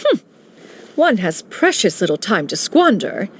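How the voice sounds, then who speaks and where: A woman's voice: medium pitch, sing-song, measured pace, a little hiss or hum. A woman voicing an aloof ancient female immortal master who speaks in a stately, archaic way, referring to herself as "one", in a game cutscene. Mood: composed. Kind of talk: video game cutscene dialogue. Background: faint game music.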